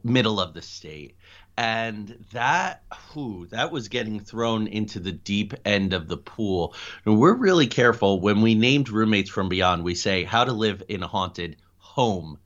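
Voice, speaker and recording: A man's voice: lowish voice, a bit bright. A middle-aged American man speaking as an interview guest, telling stories conversationally. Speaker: interview guest, paranormal investigator and writer. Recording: dull, band-limited lo-fi audio.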